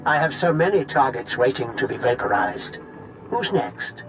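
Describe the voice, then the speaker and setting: An actor playing a gloating, sadistic Sith villain in a video game, taunting with theatrical, mock-polite menace. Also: male voice, medium pitch, animated, moderate pace, faint music behind, lightly acted. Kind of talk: video game cutscene acting.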